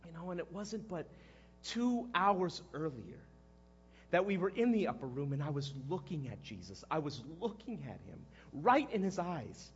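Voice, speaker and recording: Guy acting as a guilt-ridden fisherman disciple, husky and high-pitched, swings all over, halting stage monologue, kinda dull recording. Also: very animated, a little hiss or hum. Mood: sorrowful, subdued. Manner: halting, conversational, erupting into shouts. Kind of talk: dramatic first-person monologue.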